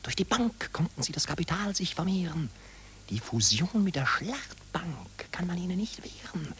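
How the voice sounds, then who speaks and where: Mocking war profiteer, breathy whisper, swoopy rhymes, bit of hiss on the recording. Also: female voice, on the low side, big pitch swings, moderate pace, a bit bright. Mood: mocking, gloating. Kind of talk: stylized rhymed radio drama.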